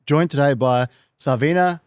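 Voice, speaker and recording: A man's voice: fairly high pitch, moderate pace, darkish tone, smooth, no echo, studio quality. A man hosting a show, interviewing a guest in a conversational style.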